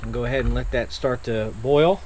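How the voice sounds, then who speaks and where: A man of mid pitch talks through an outdoor camp-stove meal in a loose, unhurried way, full of little fillers and restarts. He was recorded on a camera mic outdoors by a truck tailgate. A faint open-air hum sits behind him. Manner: relaxed, step-by-step, many fillers and restarts. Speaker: outdoor cooking channel host. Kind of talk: casual cooking demonstration.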